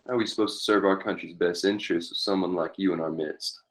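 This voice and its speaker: A man playing a menacing outlaw gang leader and former cavalry captain in a western table read, speaking in a taunting, deliberate way. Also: deep voice, steady tone, natural voice. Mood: taunting, cold.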